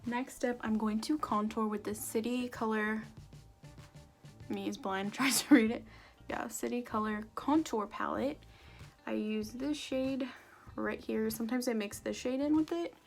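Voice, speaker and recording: A young woman with a raspy, sore-throated voice, chatting casually and joking at her own expense while she does her makeup. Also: on the high side, steady tone, a little slow, soft background music. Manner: self-deprecating, jokey, rambling. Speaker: beauty vlogger.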